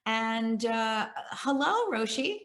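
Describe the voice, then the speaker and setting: A woman's voice: fairly high pitch, big pitch swings, slow, fairly bright tone. A woman hosting an online interview, upbeat and enthusiastic as she welcomes and questions her guest. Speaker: interview host.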